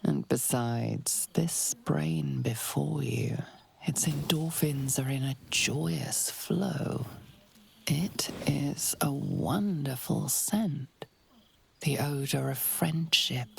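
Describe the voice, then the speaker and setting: Eerie game narrator for a monster's mind: extremely husky, slow, hushed, ominous. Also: female voice, a bass voice, full character voice. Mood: sinister, tempting. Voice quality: breathy, whispery, gravelly. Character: eerie, detached inner voice narrating a brain-eating monster's urges. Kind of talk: second-person game narration.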